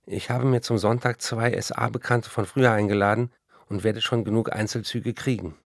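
An actor in a radio play voicing an embattled, wry German novelist of the 1930s, reading out letters and diary entries. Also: male voice, lowish voice, steady tone, moderate pace, gravelly, no room sound, studio quality. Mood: businesslike.

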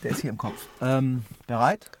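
A man's voice: mid-range voice, moderate pace, background noise, close to an everyday voice. A man playing a guarded, awkward young resistance activist in a radio drama, speaking in hesitant, clipped phrases. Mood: focused.

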